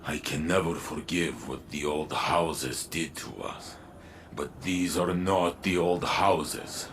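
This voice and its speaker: Veteran warrior, very low voice, slow deliberate phrasing, solemn, proud, remorseful, protective, video game cutscene. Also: male voice, a bit bright, slight background noise, heavily acted. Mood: earnest, resolved.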